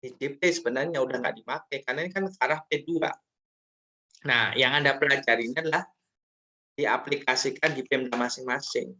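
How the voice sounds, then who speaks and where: A man's voice: medium pitch, sharp tone. A man lecturing in an informal, chatty way, often checking in with questions during an online class discussion.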